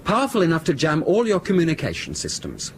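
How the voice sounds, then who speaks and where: A man playing an analytical, inquisitive passenger in a radio drama, speaking deliberately as he pieces things together. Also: on the high side, wildly swooping pitch, slight background noise. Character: curious, analytical male passenger sleuthing out technical clues. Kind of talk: broadcast radio drama acting.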